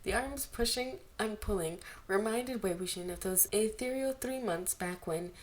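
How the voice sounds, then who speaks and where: A woman narrating fan fiction aloud in an expressive, amateur storytelling style. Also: medium pitch, moderate pace. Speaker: amateur podfic reader. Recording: amateur home recording, background noise.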